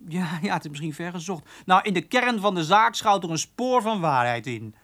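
A man's voice: high-pitched, expressive, moderate pace, a bit bright. An actor playing a cheeky, eager young office boy in a radio drama, chatty and often hesitant.

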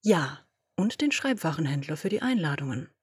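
A domineering woman boss with a husky, breathy voice, as a woman reads her fires off brisk orders and brushes aside objections. She sounds dry and close to the microphone, like a home audio drama recording. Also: sits low, very animated, a little mellow, dry recording, full character voice. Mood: cool, commanding. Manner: commanding, brisk, dismissive. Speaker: podfic reader. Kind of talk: German audio drama reading.

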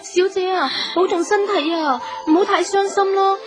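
Very high, gentle young Cantonese woman. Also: normal speed, over music. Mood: tearful, consoling. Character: gentle, self-blaming young noblewoman or her maid. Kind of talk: Cantonese radio drama acting.

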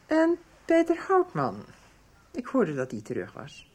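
An actress playing a sharp, accusing, anxious middle-aged mother in a radio soap, with a husky voice. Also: fairly high pitch, huge pitch swings, a little slow, full character voice. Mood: accusing, sarcastic.

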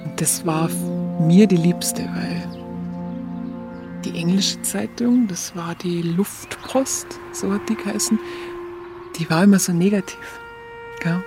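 An actress playing a reflective young woman, recalling the war in a halting, intimate radio monologue. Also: lowish voice, music in the background. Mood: musing. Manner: halting, musing, colloquial.

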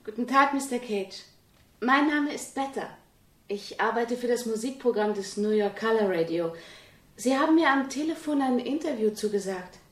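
An actress playing a persistent, highbrow woman music journalist with formal, wordy questioning in a radio play. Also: animated, normal speed, slight background noise, close to an everyday voice. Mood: polite, eager.

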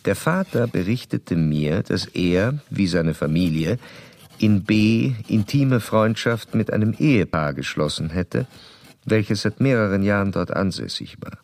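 A man's voice: on the low side, animated, unhurried, vocal fry, a little mellow. An actor playing a composed male doctor in a radio drama, speaking in a formal, methodical, clinical way. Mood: clinical, detached. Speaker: doctor and narrator.

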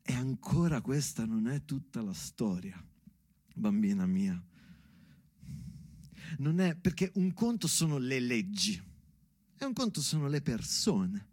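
A man's voice: mid-range voice, big pitch swings, slow pace, pauses often, darkish tone, dry recording, clean studio sound. A man performing a solo stage monologue, moving between recited lists and ironic conversational asides with rhetorical questions.

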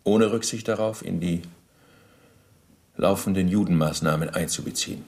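A former Nazi functionary under interrogation in a radio play. His voice is low and creaky. He is pedantic and deferential, forever justifying himself in formal official phrasing. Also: moderate pace, darkish tone. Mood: official, reading. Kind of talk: German radio docudrama acting, interrogation dialogue.

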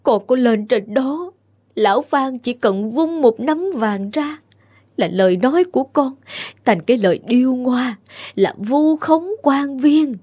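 A woman voicing a frail, grieving old peasant mother who pleads in slow, wailing tones in an audio story. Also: high voice, animated, a little mellow. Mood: weary. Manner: wailing, slow sobbing pleas.

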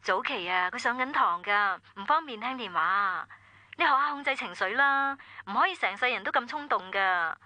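A woman's voice: mid-range voice, lively intonation, normal speed, pushed and bright, no room sound. An actress with a Hong Kong Cantonese accent playing a composed, admonishing female relative taking a phone call in a radio drama.

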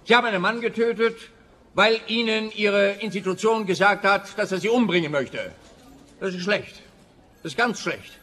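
An actor playing an officious, pedantic, exasperated police officer in a comic radio play, blustering. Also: male voice, high-pitched, moderate pace, sharp tone, slightly rough, heavily acted. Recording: roomy long reverb, faint background noise.

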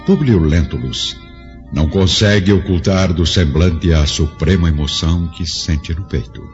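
A man's voice: low-pitched, a little slow, darkish tone. A man with a Brazilian accent narrating a radio drama in a solemn, literary way. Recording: dull lo-fi audio with music. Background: music.

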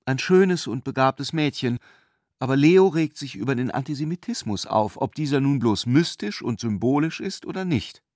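A man's voice: mid-range voice, animated, normal speed, a little mellow, no room sound, studio quality, lightly acted. A man voicing a worried, plaintive middle-aged mother. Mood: confiding. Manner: sighing, agitated.

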